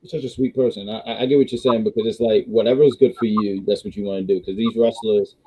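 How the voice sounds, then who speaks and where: A man hosting a casual wrestling review stream, rambling with restarts and reading viewer chats, his voice going hoarse. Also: on the low side, steady tone, fast, mellow, rough recording. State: losing his voice. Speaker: wrestling review show host.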